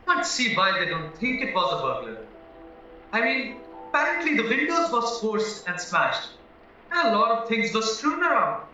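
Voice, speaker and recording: Man acting anxious young accused man, radio play, high pitch, naive, distant muffled echoey recording. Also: wildly swooping pitch, normal speed, pushed and bright, soft background music, full character voice. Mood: disbelieving.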